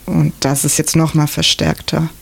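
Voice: medium pitch, level tone, normal speed, vocal fry. A radio interview guest from southern Germany, talking casually and reflectively in a studio discussion.

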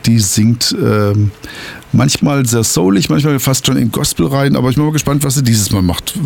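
Male community radio host, gravelly, chatty, casual studio banter. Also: mid-range voice. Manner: relaxed, chatty, adds asides and jokes.

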